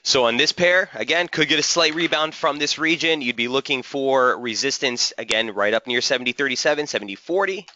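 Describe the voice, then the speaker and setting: A man hosting a live trading webinar, slightly hoarse, walking through charts and answering viewers' typed questions. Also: medium pitch, brisk, hardly any pauses, sharp tone, dry recording, muffled recording. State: slightly hoarse, losing his voice. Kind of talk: live market analysis webinar.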